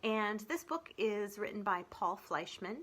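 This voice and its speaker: Bright-voiced woman reading for children. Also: mid-range voice, animated, a little slow. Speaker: children's librarian reading poetry. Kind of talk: children's read-aloud presentation.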